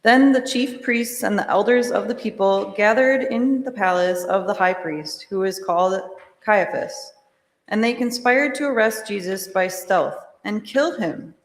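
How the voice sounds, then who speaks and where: A woman narrating a dramatic scripture reading in a measured, formal manner. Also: medium pitch. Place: large church during a service. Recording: echoey church, long reverb.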